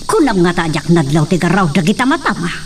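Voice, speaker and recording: A woman's voice: lowish voice, big pitch swings, brisk. A woman playing a domineering, threatening rich lady of a hacienda in an audio soap drama. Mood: stern, suspicious. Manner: imperious, threatening. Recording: distant mic, faint background noise.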